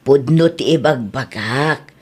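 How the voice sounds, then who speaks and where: A woman's voice: a bass voice, takes it slowly, slightly rough, heavily acted. A woman playing a patient Ilocano mother. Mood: advising. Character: caring middle-aged mother, gently advising. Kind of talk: audio drama acting.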